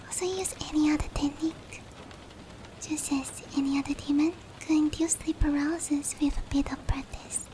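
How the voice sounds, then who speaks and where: A woman voicing a gentle, caring female demon in an intimate roleplay ASMR, whispering softly and breathily to comfort a human. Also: sits high, lightly acted. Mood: gentle, curious. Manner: slow, tender, reassuring. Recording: steady rain noise behind. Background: rain.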